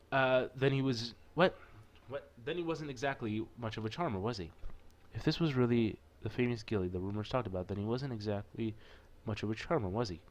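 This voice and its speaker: A man's voice: mid-range voice, a little mellow. A man hosting a visual novel playthrough, reading aloud and breaking into casual, jokey, sweary asides. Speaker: let's play host.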